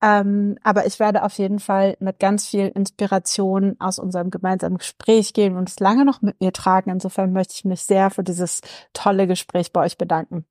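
A woman from Berlin, a politician guesting on a podcast, speaking casually and warmly with many fillers. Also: mid-range voice, little pitch movement, normal speed, hardly any pauses, no echo, clean studio sound. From Berlin, Germany. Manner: friendly, many fillers and hesitations. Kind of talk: casual conversation.

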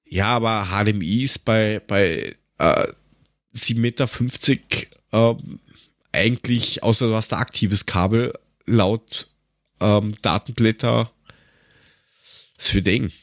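A middle-aged man chatting casually on a humorous podcast in a halting, wry storytelling manner. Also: fairly low pitch, fairly bright tone, no echo, studio quality. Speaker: podcast host.

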